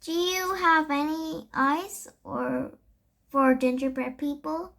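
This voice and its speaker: A woman's voice: high-pitched, very animated, slow, fairly bright tone, heavily acted. A young girl voicing an earnest young witch schoolgirl in a storybook. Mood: secretive.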